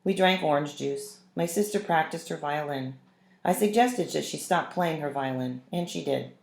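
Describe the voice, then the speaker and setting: Low-voiced woman reading a children's storybook aloud. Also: normal speed, gravelly, darkish tone. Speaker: read-aloud narrator. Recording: roomy, echoing room sound.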